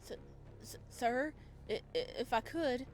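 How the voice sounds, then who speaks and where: A woman's voice: high voice, a little slow, faint music behind, voice pushed up. A woman voicing a nervous, eager-to-please male henchman in a visual novel, stammering and hesitant. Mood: frightened.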